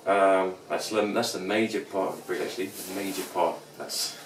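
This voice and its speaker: A man in his forties, a bit hoarse, giving a casual, rambling guitar tutorial at home with many restarts. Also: low-pitched, steady tone, normal speed, background noise. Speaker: guitar teacher. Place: small cluttered room at home. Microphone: camera mic from a few feet away. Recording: echoey room, distant camera mic, guitar playing. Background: acoustic guitar playing.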